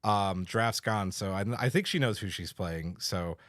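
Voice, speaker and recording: A man's voice: deep voice, moderate pace, a bit bright, no echo, studio quality. A man hosting a podcast in an enthusiastic, casual, chatty way. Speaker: podcast host.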